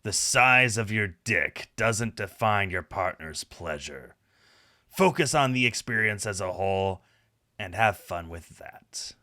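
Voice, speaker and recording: A man voicing a calm, worldly male fox adventurer, giving measured, matter-of-fact advice in a visual novel. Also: fairly low pitch, no room sound, clean studio sound, heavily acted. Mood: calm advising. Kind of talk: visual novel character read-aloud.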